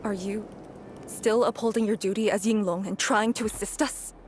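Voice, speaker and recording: A resolute young woman warrior from a video game, played by an actress, speaks with earnest authority at a middle pitch. Her delivery is dramatic and dutiful, with some noise behind the voice. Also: steady tone, normal speed, lightly acted. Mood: stern, questioning.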